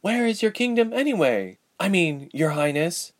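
A man's voice: high-pitched, sing-song, normal speed, voice pushed up. A man voicing a young woman ice mage in an audiobook story, wary and dryly humorous, with a questioning manner. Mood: puzzled. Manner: questioning, dry.

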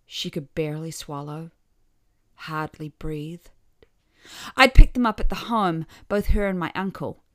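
A woman reading a scripted first-person short story with wry expression, letting some phrase endings drop softly. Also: sits low, fairly even intonation, unhurried, no echo, studio quality.

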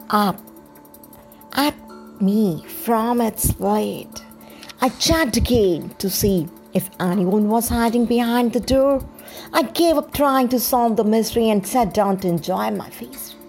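Slow-paced woman telling a story over music. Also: mid-range voice, darkish tone. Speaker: storyteller, podcast host. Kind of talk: scripted first-person story reading.

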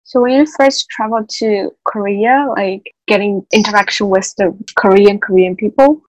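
A woman interviewer puts a measured question to her guest. Her voice sits in a middle pitch, and the recording is dry and close, with no room echo.

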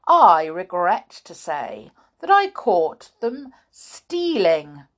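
A woman's voice: fairly low pitch, very animated, extremely slow, full character voice. A woman voicing a stern, menacing old male schoolmaster in a storybook, thundering and growling his threats.

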